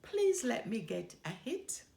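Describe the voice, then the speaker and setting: A woman's voice: on the high side, sing-song, slow, creaky, dark tone, heavily acted. Woman voicing an earnest little boy bear, echoey. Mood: pleading. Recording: roomy echo.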